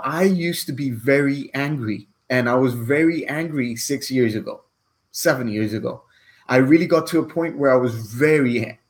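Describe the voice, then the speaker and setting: Guy in his 40s, rambling earnest coach talk to camera, keeps saying okay. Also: mid-range voice. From Cyprus. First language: Greek. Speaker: online business coach.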